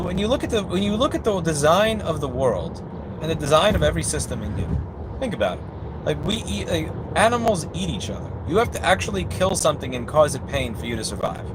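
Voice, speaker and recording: A man with a fairly high, animated voice argues his points bluntly and casually, swearing freely. He is recorded inside a moving car, with clear road noise around the voice. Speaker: repair technician hosting a livestream. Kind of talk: casual argumentative conversation.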